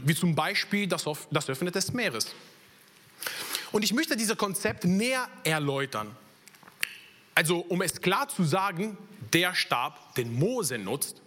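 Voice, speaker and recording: A man's voice: a little high, sharp tone. A young man from Italy with an Italian accent, preaching a sermon in earnest and sharing his own story. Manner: earnest, personal testimony with slips in German grammar.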